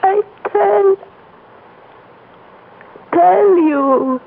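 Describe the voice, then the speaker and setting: A woman's voice: very high voice, extremely slow, vocal fry, heavily acted. An actress playing a weak, grieving young Mexican woman who is dying, gasping out broken phrases in a Spanish-accented old radio drama. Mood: desperate, pained. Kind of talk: 1940s-50s radio drama acting. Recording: muffled, faintly noisy old radio recording.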